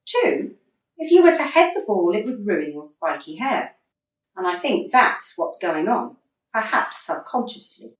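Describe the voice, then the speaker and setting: A woman actor plays a blunt female football manager in a radio play. Her pitch is fairly high and swings widely as she scolds with exasperation. She sounds a little away from the microphone, in a roomy space. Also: very animated, distant mic. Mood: critical, sardonic. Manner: emphatic, scolding, deliberate. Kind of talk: radio drama acting.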